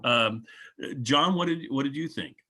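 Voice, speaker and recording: A man hosts a casual chat in an informal way. His voice is bright and forceful, at a middle pitch. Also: unhurried. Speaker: host.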